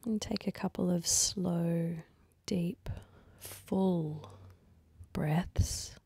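Breathy, low-pitched woman guiding meditation. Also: no echo, studio quality. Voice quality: soft, breathy, husky. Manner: calm, gentle, unhurried instructing with long pauses. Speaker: meditation guide. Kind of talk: slow guided meditation.

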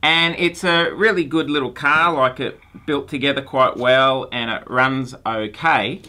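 Man in his forties, science teacher, bright forceful voice, friendly, earnest. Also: mid-range voice, fairly even intonation, measured pace. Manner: friendly, earnest, hesitant restarts. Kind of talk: phone call to a company. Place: small room with shelves of toys and lab gear. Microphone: phone handset held to ear, room mic.

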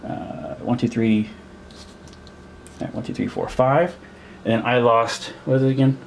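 A man's voice: mid-range voice, steady tone, measured pace, gravelly, slight background noise. A man playing a war board game at a table, counting pieces aloud and puzzling over the rules as he goes. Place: indoors at a table.

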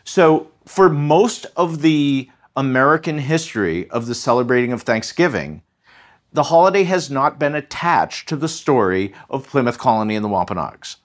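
Emphatic man with a very lively pitch. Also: moderate pace. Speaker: guest historian. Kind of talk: conversational podcast interview.

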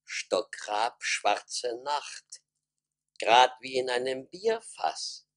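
An actor playing a ponderous, solemnly foolish townsman in a comic radio play, speaking deliberately. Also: mid-range voice, expressive, a bit bright. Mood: puzzled.

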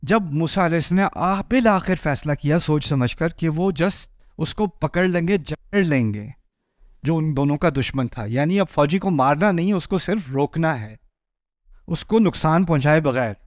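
A man in his forties preaching with animated energy, his voice sitting high for a man. He walks the stage and acts out the people in his story, and the headset mic keeps the sound close and dry. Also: moderate pace, no echo. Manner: animated, gestures widely while pacing a stage. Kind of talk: sermon. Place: indoor stage before a patterned backdrop.

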